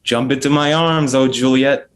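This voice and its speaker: A woman doing a cocky young guy, lower than her own voice. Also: fairly even intonation, normal speed, slight background noise. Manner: cocky, teasing. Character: cocky young male cousin, scheming.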